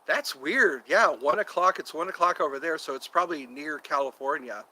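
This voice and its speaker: A man's voice: on the high side, moderate pace, bright, forceful tone, rough recording. A man in his fifties hosting a casual livestream, chatting to viewers in a meandering way and slipping into quoted voices. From United States. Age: 50s. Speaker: livestream host.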